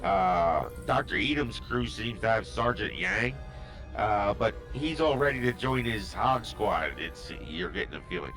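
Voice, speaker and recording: A man's voice: medium pitch, animated, a little slow, fairly bright tone, faint music behind, poor audio. A man running a tabletop game as game master, narrating and explaining in a rambling way full of fillers. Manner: rambling, thinking aloud, many fillers.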